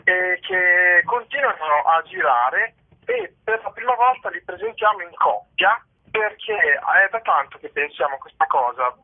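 A man being interviewed as a theatre-maker, explaining his work reflectively and pausing to search for words. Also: high-pitched, sing-song. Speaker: theatre company member, interview guest. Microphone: telephone. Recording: narrow tinny phone line.

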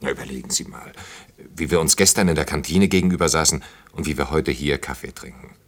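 An actor playing a reserved, awkward middle-aged department head in a naturalistic radio play, halting and dryly formal. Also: male voice, extremely low pitch, a bit bright, heavily acted. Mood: dry, guarded. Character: reserved, awkward male department head courting a young woman.

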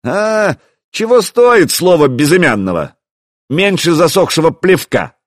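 A man's voice: on the high side, very animated, unhurried, no echo, clean studio sound, voice pushed up. Man as a haughty, bellowing old prince. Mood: fierce. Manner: shouting, imperious, scornful. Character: haughty enemy prince, older man, bellowing threats and insults.

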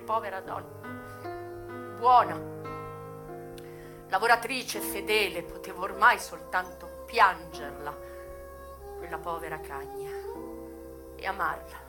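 Casting: woman playing bitter, frank American madam looking back on a hard youth; theatrical radio monologue, mid-pitched, emotive delivery, music underneath. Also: natural voice. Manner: emotive, deliberate phrasing with sharp swings from hushed to loud. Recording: voice over music.

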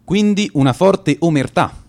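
A man's voice: fairly high pitch, animated, moderate pace, gravelly, a little mellow. An actor playing an earnest, easily exasperated male interviewer in a naturalistic radio drama, insistent and often rephrasing his questions. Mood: puzzled, patient.

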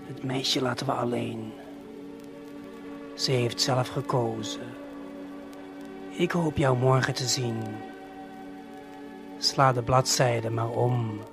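A man playing a conspiratorial eavesdropping guide in a radio play, unhurried and confiding, talking straight to the listener. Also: medium pitch. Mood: hushed, intrigued. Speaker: narrator.

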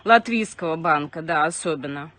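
A woman answering a phone call, calm and wary, questioning the caller skeptically. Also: sits low, normal speed, no room sound. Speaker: caller's target, a channel subscriber. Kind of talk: phone conversation. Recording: noisy phone call recording.

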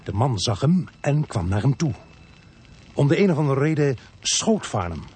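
An actor playing a sober, sardonic police inspector who narrates a murder case in a radio play. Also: male voice, medium pitch, big pitch swings, normal speed, heavy background noise, natural voice. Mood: tense recounting.

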